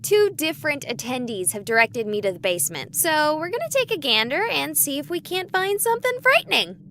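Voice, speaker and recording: Bright squeaky-high young streamer girl, lively swooping pitch, easily panicked, theatrical game voice. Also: fairly quick, a little hiss or hum, exaggerated voice. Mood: playfully theatrical. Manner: high-strung, dramatic. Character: excitable young woman streamer, easily panicked, exploring haunted hospital. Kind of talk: animated visual novel voice acting.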